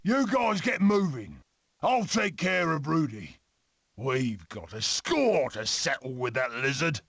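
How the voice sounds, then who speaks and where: Cartoon weasel survivalist, British, bright forceful tone, wildly swooping pitch, gravelly, cocky, eccentric, bossy. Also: male voice, sing-song, slow, slight background noise, full character voice. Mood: resolute. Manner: theatrical, bossy, swaggering. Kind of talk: animated game character acting.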